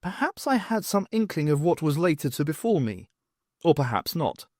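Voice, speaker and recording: Male audiobook reader, highly animated intonation, wry dramatic emphasis, dry close sound. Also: moderate pace, dry recording. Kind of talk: expressive first-person fiction narration.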